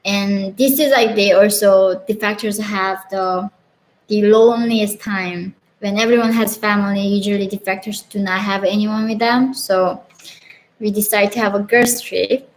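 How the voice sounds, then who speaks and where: Slow-spoken Korean-accented young woman. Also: mid-range voice, steady tone, a little hiss or hum, low-quality recording. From North Korea. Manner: earnest, frequent "like" fillers, laughs between chat messages. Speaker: channel host answering live chat questions. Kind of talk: casual live Q&A.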